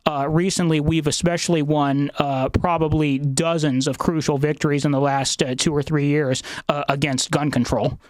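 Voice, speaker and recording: A man's voice: on the high side, flat delivery, moderate pace, few pauses, no room sound, rough recording. A man hosting a podcast interview, talking in a hesitant way with many fillers and restarts. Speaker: podcast host.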